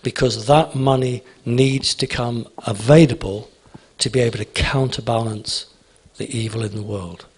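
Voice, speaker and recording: Husky-voiced man lecturing to an audience. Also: mid-range voice, steady tone, moderate pace, few pauses. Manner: emphatic, repeats phrases, asks "okay?". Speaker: conference speaker. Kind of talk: answering audience questions at a talk.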